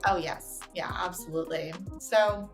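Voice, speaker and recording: A woman's voice: lowish voice, fairly even intonation, unhurried, faint music behind. A woman hosting a casual interview podcast, chatty and full of "like" and "you know" fillers. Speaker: podcast host and realtor. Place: Orange County, California.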